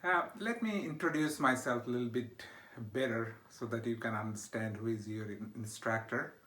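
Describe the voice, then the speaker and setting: A man's voice: mid-range voice, moderate pace, pushed and bright. A middle-aged man with a Bangladeshi accent, a university instructor introducing his course from a home study, with frequent "uh" fillers and a checking "okay?". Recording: echoey room.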